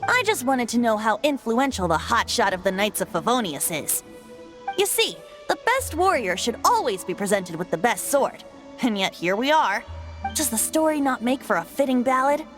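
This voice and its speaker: Boy bard for fantasy game: high, very animated, theatrical, sly and cajoling. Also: moderate pace. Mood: wry. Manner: playful, grandiose, cajoling. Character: cheeky, theatrical young male bard, a god in disguise. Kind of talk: fantasy video game voice acting. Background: background music.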